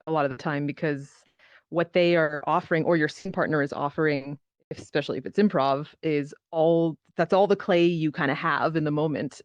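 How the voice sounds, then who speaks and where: A woman's voice: sits low, dry recording. A woman talks as a panelist, casual and reflective, with loose, informal phrasing. The sound is dry and slightly dull, as from an online voice chat. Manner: hedging, informal, frequent "like" and "kind of". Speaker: panelist, theater performer. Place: virtual reality social platform meetup, online.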